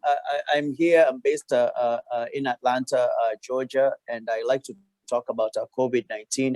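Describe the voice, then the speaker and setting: A man's voice: medium pitch, a little mellow, no echo. A man, a radiologist, speaking over a video call in a hesitant manner, full of fillers and switching between Swahili and English. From Tanzania.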